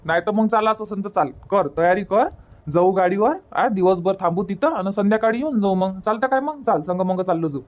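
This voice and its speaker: A man's voice: sits high, brisk, lightly acted. Guy doing a village husband in a cartoon, varhadi marathi accent, keeps arguing and soothing, says things over again. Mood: placating. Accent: Varhadi Marathi from Vidarbha. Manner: colloquial, repeats himself to make his point. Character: village husband and father, placating and argumentative. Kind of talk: cartoon comedy dialogue.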